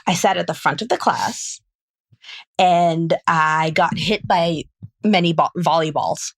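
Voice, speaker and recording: A woman's voice: fairly low pitch, slow, gravelly. A woman from Cameroon who is a reproductive endocrinologist, speaking as an interview guest in a blunt, plain-spoken, joking way. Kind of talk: casual interview conversation.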